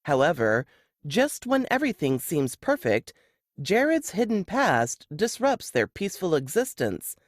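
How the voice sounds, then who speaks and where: A woman reading a scripted book blurb in a measured, dramatic storytelling manner. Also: on the low side, very animated, no echo, studio quality.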